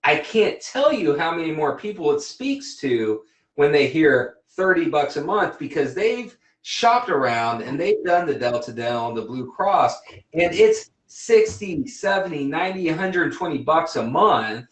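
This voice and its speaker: A man hosting an interview in a relaxed, casual way. His voice sits a bit higher than most men's, and he talks bluntly with plenty of teasing and restarts. The sound has a roomy echo. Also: unhurried. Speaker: interview host, dentist. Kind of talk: casual livestream interview chat.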